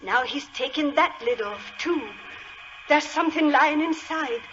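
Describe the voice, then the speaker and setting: An actress playing a frightened, superstitious country housemaid, in excitable 1940s radio style. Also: female voice, sits high, unhurried. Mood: hushed curiosity. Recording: muffled, noisy old radio recording.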